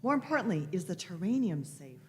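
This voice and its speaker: A woman's voice: fairly low pitch, sing-song, brisk. A woman playing an eccentric, imperious elderly time traveller in a radio play, fussily humming and repeating words. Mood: fond, thoughtful. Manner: fussy, repeated words and hums. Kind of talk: live radio play reading.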